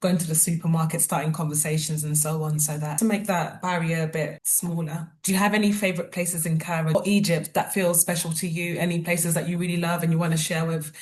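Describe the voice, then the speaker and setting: A woman's voice: low voice, flat delivery, a little fast, few pauses. A woman hosting an interview, asking chatty, curious questions in a friendly way. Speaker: host, interviewer. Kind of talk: casual interview.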